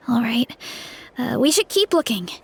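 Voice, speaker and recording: A woman's voice: on the high side, big pitch swings, takes it slowly, a little hiss or hum, heavily acted. A woman voicing an earnest young woman in a video game, bubbly but shy and self-doubting. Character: earnest young woman who idolizes a masked hero.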